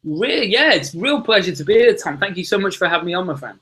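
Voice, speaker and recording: This is a man, talking in a loose, enthusiastic, rambling way. His voice sits a little on the high side for a man. He sounds like a guest in a relaxed podcast chat. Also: fast. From Liverpool, England. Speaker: podcast guest, success coach. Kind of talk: casual conversation.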